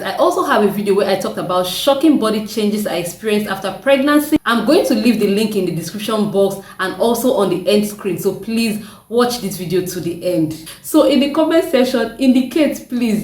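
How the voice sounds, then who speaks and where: A young woman with a deep voice, chatting casually to her viewers and laughing often. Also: darkish tone, distant mic. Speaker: vlogger. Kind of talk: casual chat to camera. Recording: echoey room, mic set back.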